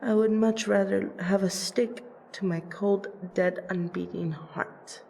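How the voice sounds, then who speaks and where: Woman acting a slow, creaky-voiced vampire princess. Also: mid-range voice, steady tone, a little mellow, a little hiss or hum. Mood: solemn, devoted. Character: female vampire princess, protective, proud, sardonic, self-conscious. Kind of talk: ASMR audio roleplay acting.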